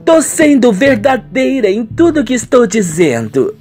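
Casting: actress as scheming young Brazilian heiress, highish voice, emphatic radio soap delivery, music underneath. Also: female voice, sing-song, a little slow, darkish tone. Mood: self-assured. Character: headstrong, scheming young woman, a rich farmer's daughter. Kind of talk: amateur radio soap opera acting.

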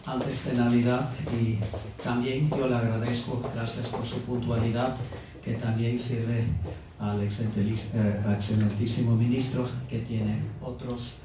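A middle-aged man giving a formal welcome speech at a lectern, speaking unhurriedly with pauses, fillers and small self-corrections. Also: medium pitch, background noise. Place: reception room at an embassy, indoors. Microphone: handheld microphone at a lectern. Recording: echoey room, picked up from a distance.

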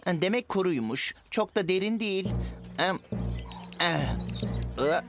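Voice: mid-range voice, lively intonation, takes it slowly, music in the background. A voice actor playing a cheeky, impatient young boy in a children's cartoon, with a whiny, mischievous manner. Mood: scheming, muttering. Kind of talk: animated children's series dub.

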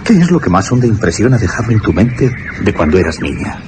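An actor playing an earnest, dreamy young English gentleman archaeologist in a Spanish radio drama. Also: on the low side, quick pace, noisy background. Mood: tender, curious. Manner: dreamy, expressive delivery. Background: music behind the voice.

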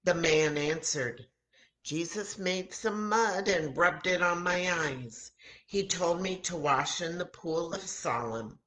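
An older American woman, in her sixties, reads a children's Bible story aloud in a dramatic, deliberate manner, acting out the dialogue. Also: fairly low pitch, a bit bright, rough recording. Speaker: children's story time host at a church. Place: home kitchen, indoors. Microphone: webcam or laptop mic at arm's length.

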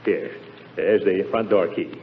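An actor playing a blustery, exasperated middle-aged uncle in a 1940s radio comedy, hesitating and bursting into complaint. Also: male voice, low-pitched, expressive, unhurried. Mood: fussy. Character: blustery, exasperated middle-aged uncle, self-pitying sitcom head of household. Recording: muffled, noisy 1940s radio broadcast recording.

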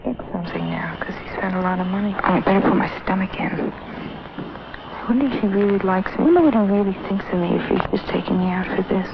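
A woman's voice: mid-range voice, quick pace, gravelly, lightly acted. A young woman voicing a nervous teenage girl's inner thoughts. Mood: worried. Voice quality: hushed, half-whispered thoughts. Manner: nervous, self-doubting, rambling. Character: anxious teenage girl on a date. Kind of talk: inner-monologue voice-over. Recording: dull old film soundtrack with hiss.

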